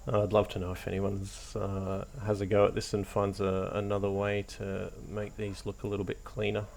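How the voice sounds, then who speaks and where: A man hosting a hobby tutorial, narrating casually off the cuff in a hesitant, low-key, encouraging way. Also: completely flat delivery, moderate pace, vocal fry, a little mellow. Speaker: hobby craft tutorial host.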